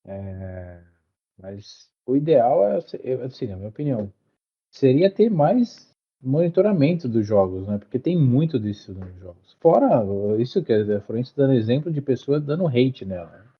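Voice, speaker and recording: A man co-hosting a podcast, thinking aloud and often restarting his sentences. His voice is husky and mid-pitched. The recording is dull and lo-fi. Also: sing-song, normal speed. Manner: hesitant, many restarts and fillers. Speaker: podcast co-host. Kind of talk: casual podcast chat.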